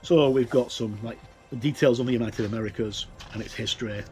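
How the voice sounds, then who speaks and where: A man's voice: mid-range voice, brisk, a little mellow, faint music behind, poor audio. A middle-aged man giving a casual, unscripted commentary as a reviewer, thinking aloud with hesitant, informal phrasing. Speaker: tabletop game reviewer. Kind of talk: casual commentary, talking through a rulebook.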